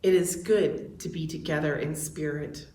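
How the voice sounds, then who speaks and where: A woman giving a measured, formal welcome and call to worship as a church's religious education director. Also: low voice, fairly even intonation, mellow, reverberant. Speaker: director of religious exploration and seminary student.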